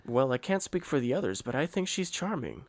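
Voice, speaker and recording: A man voicing a calm, polite teenage esper boy in an amateur anime fan dub, explaining things in a composed, courteous way. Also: mid-range voice, brisk, no room sound. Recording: loud music under the voice.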